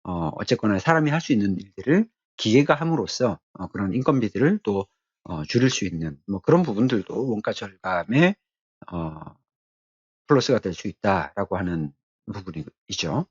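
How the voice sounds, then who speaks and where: A man giving an explanatory technical lecture as an instructor, speaking haltingly and repeating his key phrases. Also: on the low side, sing-song, slightly rough, no room sound, highs cut off.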